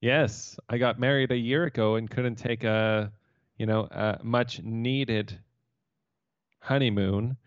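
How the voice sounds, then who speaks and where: A man's voice: medium pitch, steady tone, slow, no room sound. A man co-hosting a podcast in casual conversation over a remote computer call. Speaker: podcast co-host. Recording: slightly dull computer-call audio.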